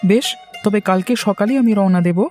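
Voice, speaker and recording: A man's voice: sits high, fairly quick, a little mellow, soft background music, close to an everyday voice. An actor playing a dutiful but independent-minded young son just out of his studies in a cartoon story. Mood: easygoing. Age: young adult. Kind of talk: Bengali cartoon story dialogue.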